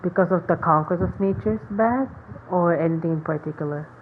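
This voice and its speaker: Low-voiced woman gamer on a dull mic. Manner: halting, thinking aloud. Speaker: game streamer. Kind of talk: casual gameplay commentary. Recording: dull lo-fi mic, faint background noise.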